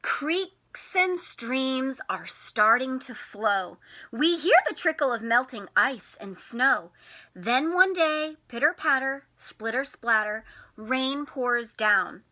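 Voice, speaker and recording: High, bright, lively woman reading to children. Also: takes it slowly. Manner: sing-song, expressive, questioning young listeners. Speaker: teacher and storytime host. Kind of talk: children's picture-book read-aloud.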